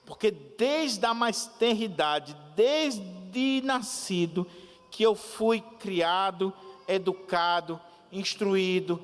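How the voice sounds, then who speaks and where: Male preacher doing a zealous ancient apostle, high and forceful, church echo. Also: smooth. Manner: emphatic, indignant rhetorical questions.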